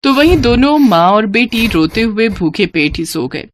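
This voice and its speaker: A woman's voice: a little high, animated, normal speed, slight background noise. A woman narrating a cartoon story in a scripted, emotive storybook manner.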